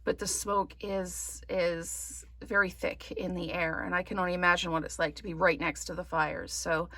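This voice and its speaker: A woman from Canada giving a relaxed craft tutorial, her voice slightly croaky from smoke, rambling with many ums. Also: on the low side, moderate pace, dry recording. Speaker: card-making demonstrator. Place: indoors at a craft table.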